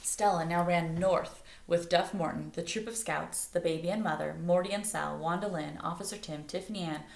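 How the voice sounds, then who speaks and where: A woman's voice: lowish voice, fairly even intonation, normal speed, gravelly, hardly any pauses, slight background noise. A woman reading a children's story aloud expressively as a storyteller, recorded in an echoey room. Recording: roomy, echoey indoor sound.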